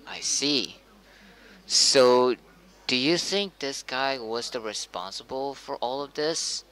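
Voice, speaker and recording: A man's voice: medium pitch, expressive, slow pace, pushed and bright, low-quality recording. A man playing a hesitant local TV news anchor, informal and stumbling over his words in an amateur drama. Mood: questioning, hesitant. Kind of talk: amateur acted news interview.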